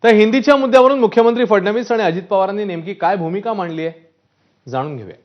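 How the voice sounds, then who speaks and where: Fast, high-pitched male news anchor. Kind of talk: scripted TV news narration. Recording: dull broadcast audio with music underneath.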